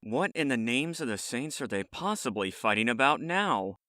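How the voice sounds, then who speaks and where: Man as stern eldest elf sibling, bright voice, lively intonation, formal, articulate, exasperated, fantasy audio drama. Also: medium pitch, normal speed, slight background noise.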